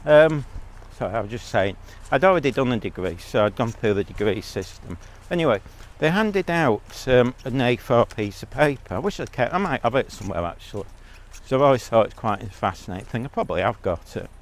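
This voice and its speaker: A man's voice: medium pitch, sing-song, a little fast, hardly any pauses. A man giving a blunt, rambling monologue as a vlogger, talking while out on a walk.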